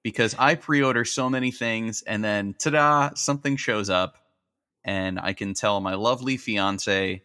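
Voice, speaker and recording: A man's voice: lowish voice, a little slow, bright, forceful tone, clean tone, no echo, clean studio sound. A man chatting casually as a podcast guest, with a playful, joking manner. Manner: playful, jokey. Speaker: recurring podcast guest, toy designer.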